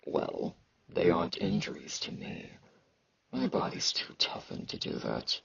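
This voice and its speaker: Guy doing a gruff battle-worn fantasy knight for asmr, super raspy n gravelly, slow halting talk, kinda muffled dry recording. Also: huge pitch swings, fairly bright tone, dry recording, exaggerated voice. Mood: gruff, concerned. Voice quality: husky, gravelly, noticeable sibilant S sounds. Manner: halting, terse, low-key, socially awkward. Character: gruff, aloof young battle-hardened genetically modified fantasy knight. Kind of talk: ASMR roleplay.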